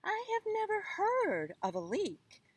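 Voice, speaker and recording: A woman's voice: extremely high pitch, wildly swooping pitch, takes it slowly, dry recording, pitched above the performer's own voice, heavily acted. Woman putting on a proud, swooping storybook tiger. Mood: boastful, resolute. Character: proud, boastful tiger, mighty forest beast. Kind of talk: children's folktale read aloud.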